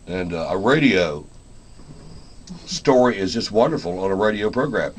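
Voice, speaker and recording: Low-voiced radio host. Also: male voice, animated, normal speed, a little hiss or hum. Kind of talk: casual radio interview and announcing.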